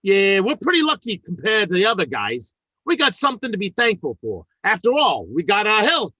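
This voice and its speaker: A blustery, big-hearted working stiff of a dad in a radio comedy. His bright, high voice swoops about as he mangles his grammar and turns sentimental, all closely and dryly recorded. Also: huge pitch swings, fairly quick, breathy edge, no room sound, muffled recording, heavily acted. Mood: jovial, sentimental. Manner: folksy, ungrammatical, sentimental blustering. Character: good-hearted, blustery working-class family man and father. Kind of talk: old-time radio comedy acting.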